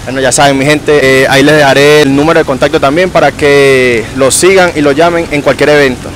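A man's voice: fairly high pitch, fairly even intonation, fairly quick, a little hiss or hum. Young man asking casual street interview questions. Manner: friendly, informal questions. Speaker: vlogger interviewing street performers. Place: city park outdoors. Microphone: handheld clip mic in a selfie shot.

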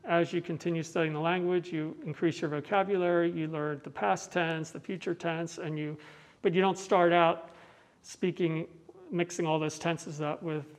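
A middle-aged man with an American accent and a fairly high voice that stays very even. He explains things patiently, in measured steps, in a conversational interview style. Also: low-quality recording. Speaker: bell ringer giving a tour. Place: large indoor tower room. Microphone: clip-on mic on the chest.

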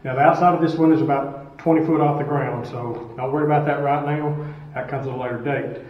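A man's voice: fairly high pitch, steady tone, fast, slight background noise. Middle-aged man, plain-spoken custodian explaining his routine, heavy echo from a distant camera. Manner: plain-spoken, explains step by step, asks rhetorical questions. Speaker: school custodian. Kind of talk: casual how-to instruction. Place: school stairwell, indoors, hard walls. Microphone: camera some distance off. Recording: echoey stairwell, distant and dull.

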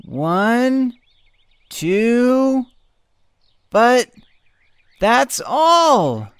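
A woman voicing an earnest little boy in a children's cartoon, with halting, simple childlike phrasing. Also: on the high side, big pitch swings, clean tone, dry recording, heavily acted. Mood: unsure.

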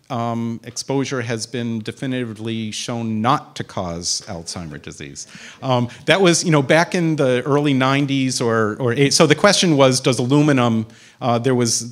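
A man in his fifties, a physician, giving a conversational public talk in a community center room, speaking into a handheld microphone with many fillers and restarts. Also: mid-range voice. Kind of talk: public lecture with audience questions.

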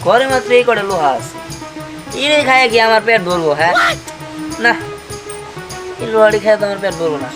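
Male actor as greedy village boy, silly, whining, pleading, exaggerated comic skit acting, loud music behind. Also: high-pitched, expressive, a little fast, pauses often. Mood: greedy, musing. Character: greedy, silly village boy who loves eating iron.

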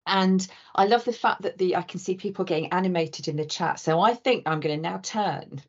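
Low-voiced woman hosting an online talk. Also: brisk. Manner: effusive, rambling, with many restarts. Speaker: host and interviewer. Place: indoor room with bookshelves. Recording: dull lo-fi video call audio.

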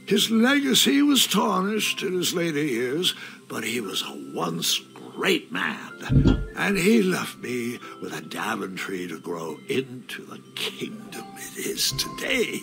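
A man's voice: medium pitch, big pitch swings, takes it slowly, creaky, fairly bright tone, full character voice. An actor playing an old, wry and sentimental grandfather narrating a storybook adventure game. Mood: wistful. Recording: music behind the voice.

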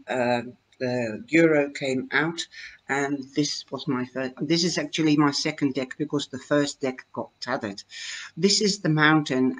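An older woman with a Dutch accent, talking slowly into her webcam at home and explaining her fortune-telling cards in a chatty, hesitant way. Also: deep voice, low-quality recording. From Netherlands. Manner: slow, chatty, with many hesitations. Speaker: tarot reader. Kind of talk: live-streamed card reading talk. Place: home room, indoors. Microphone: webcam at desk.

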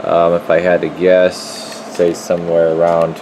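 A man with a fairly low voice talks in an informal, folksy way as he works, like a technician showing a repair. He is recorded on a handheld camera in a workshop, with clear steady equipment noise behind him. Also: measured pace. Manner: informal, folksy, talking while working. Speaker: radio repair technician presenting his work. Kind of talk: casual hands-on demonstration. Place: indoor electronics workshop. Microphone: handheld camera microphone.

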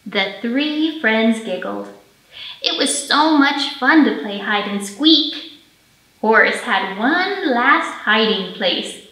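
A woman's voice: fairly high pitch, expressive, takes it slowly, sharp tone. A woman in her thirties hosting a children's storytime as a librarian, speaking expressively and directly to young children. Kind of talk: children's storytime reading and presenting. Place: indoor library room, pre-recorded for online storytime. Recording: roomy echo.

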